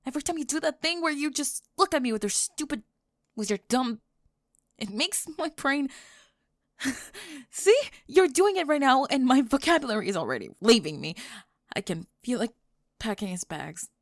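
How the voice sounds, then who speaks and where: A woman's voice: sits high, lively intonation, no echo, studio quality. A young woman acting out a prickly, flustered goth girl student with a secret crush in an ASMR roleplay, sarcastic and defensive. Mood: flustered, rambling. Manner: sarcastic, defensive, halting and stammering when flustered. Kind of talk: ASMR roleplay acting, one-sided dialogue.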